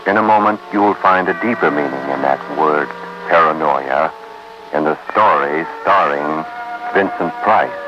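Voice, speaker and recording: A man narrating a scripted 1950s radio suspense drama in a grave, deliberate, dramatic manner. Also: rumbling low, loud music behind the voice. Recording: muffled 1950s radio recording.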